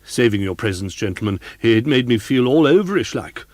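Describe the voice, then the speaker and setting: A man's voice: mid-range voice, animated, a little fast, darkish tone, no echo, natural voice. A man doing an earnest old boxkeeper woman. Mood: gossipy. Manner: earnest, gossipy. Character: chatty old female boxkeeper, superstitious and earnest.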